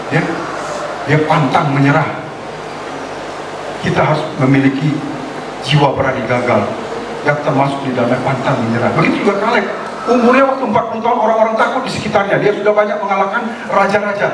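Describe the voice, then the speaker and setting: A male pastor preaching a sermon in a lively way, asking the congregation questions and acting out quoted voices. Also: high voice. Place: church hall. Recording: echoey hall, distant mic, noise.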